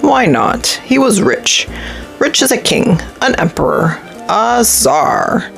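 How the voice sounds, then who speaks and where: A woman's voice: a little high, very animated, slow, vocal fry, faint music behind, voice pushed up, exaggerated voice. A woman voicing a kindly, tired old man who muses and coaxes as he makes up stories in a children's storybook. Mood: musing, inventive. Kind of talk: children's storybook read-aloud.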